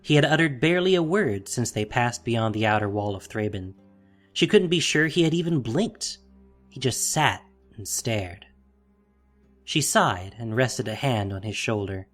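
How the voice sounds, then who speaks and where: A man's voice: mid-range voice, expressive, measured pace, fairly bright tone, faint music behind. A man narrating a scripted fantasy story in a dramatic, solemn way.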